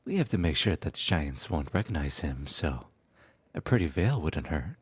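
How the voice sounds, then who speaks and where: A man acts out a scheming trickster god in a fantasy audio drama. His voice is deep and a touch rough, recorded dry and close, with lively swoops as he teases with unhurried, theatrical politeness. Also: dry recording, heavily acted. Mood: smug. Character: sly, teasing trickster god, smooth-talking schemer. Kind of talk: audio roleplay acting.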